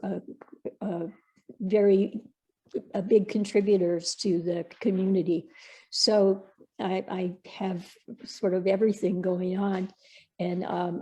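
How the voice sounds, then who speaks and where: Soft-spoken woman, slow and husky. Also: mid-range voice. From Montana, USA. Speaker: state legislator. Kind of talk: panel talk over video.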